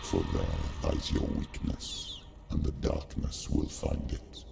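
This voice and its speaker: An actor playing a huge, taunting demonic spirit in a video game, with a guttural growl and an ominous, commanding delivery. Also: male voice, slow, close to an everyday voice. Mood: menacing. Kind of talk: dramatic video game acting.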